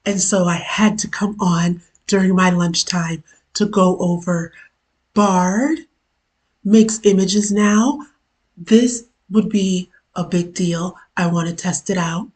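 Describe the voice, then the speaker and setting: A woman with a hoarse, worn-out voice, casually chatting as host of her own live stream during a work lunch break, with chatty self-deprecating asides. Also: lowish voice, fairly even intonation, slow, a little mellow. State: hoarse, lost voice from illness. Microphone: camera mic.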